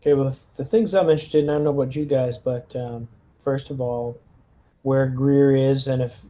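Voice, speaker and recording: A man's voice: medium pitch, steady tone, normal speed, mellow. A man casually talking through plans as a player in a tabletop roleplaying game.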